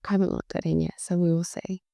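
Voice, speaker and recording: A woman hosting her own podcast, talking slowly and reflectively, her voice deep and worn out from weeks of talking, often repeating words for emphasis. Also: little pitch movement, warm tone, no echo, studio quality. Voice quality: deep, gravelly. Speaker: podcast host. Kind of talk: solo podcast monologue.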